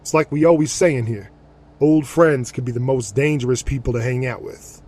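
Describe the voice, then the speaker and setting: A man playing a remorseful middle-aged father, a former gang leader and recovering alcoholic, with rough, plain streetwise speech in an audio drama. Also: mid-range voice, moderate pace, dark tone, lightly acted. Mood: weary, confessional. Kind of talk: audio drama acting, confessional monologue. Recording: dramatic music under the voice. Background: music.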